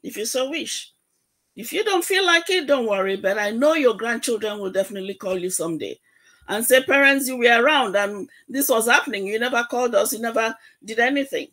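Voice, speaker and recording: A woman guest on a video call, earnestly urging listeners, repeating herself and pausing to search for words. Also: a little high, animated, a bit bright. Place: home room. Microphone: webcam on video call.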